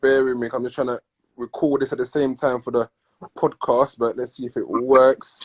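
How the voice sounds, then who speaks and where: This is a man hosting a chatty interview over a video call. His voice sits at a middle pitch. He stumbles and repeats words as he hunts for the right phrase. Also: flat delivery. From Congo. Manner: halting, repeats words while searching for phrasing. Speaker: podcast host. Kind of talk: casual interview.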